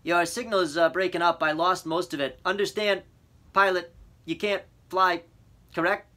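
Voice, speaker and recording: A man's voice: sits high, fairly even intonation, normal speed, sharp tone, pitched above the performer's own voice. A man reading an audiobook, voicing an adult male radio operator who speaks in clipped, formal radio procedure. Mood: procedural. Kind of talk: audiobook character voice.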